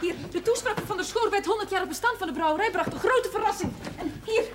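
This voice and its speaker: A woman's voice: very high-pitched, fast. An actress playing a teasing, challenging sister who questions her brother pointedly in naturalistic television drama dialogue. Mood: teasing, mocking. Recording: noisy 1970s television soundtrack.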